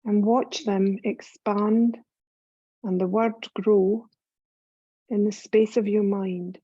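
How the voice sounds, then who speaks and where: A woman guiding a scripted meditation as a yoga nidra teacher, calm and soothing, unhurried, with long pauses between phrases. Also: medium pitch, breathy edge, no echo, highs cut off.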